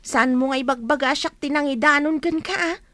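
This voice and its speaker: A woman's voice: high-pitched, a little fast, a bit bright, no room sound. A woman playing a nosy, chatty village neighbour in an Ilocano comedy radio drama, exclaiming and probing. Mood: gossipy.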